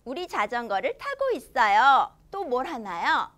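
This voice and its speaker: High, lively woman teaching kids English. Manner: slow, exaggerated English read-aloud, with cheerful Korean explanations to kids. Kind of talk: children's English lesson, reading a storybook. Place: studio in front of a green screen.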